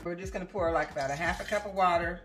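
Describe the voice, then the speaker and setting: A woman's voice: fairly low pitch, very fast, fairly bright tone. A woman helping cook at home, narrating the steps in a plain, matter-of-fact way. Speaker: host's mother, co-cook. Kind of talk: casual cooking narration. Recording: distant from the mic, with music.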